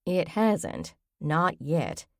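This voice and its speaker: A woman's voice: deep voice, huge pitch swings, very slow, gravelly, no echo, studio quality, heavily acted. A woman voicing a stubborn, feisty old grandmother with an emphatic, folksy way of talking in an audiobook. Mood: insistent. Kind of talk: audiobook character voice.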